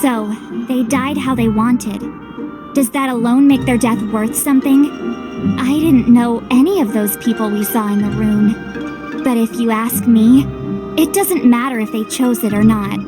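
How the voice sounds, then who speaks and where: An actress playing a thoughtful, compassionate companion in a video game, with a frank, reflective delivery. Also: female voice, on the high side, a little slow, over music, lightly acted. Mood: sober, questioning. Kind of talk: dramatic video game voice acting.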